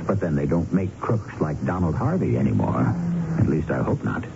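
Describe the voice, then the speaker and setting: A man's voice: extremely low pitch, very animated, normal speed, creaky, noisy background. An American man hosting a 1970s radio mystery series, narrating in a dramatic, portentous and wry way. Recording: dull lo-fi radio, loud music behind.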